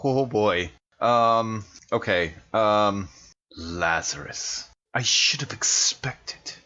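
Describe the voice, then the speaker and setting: A man's voice: fairly low pitch, very slow, bright, forceful tone. A man playing a tabletop horror game, speaking lines in character, on a slightly dull recording.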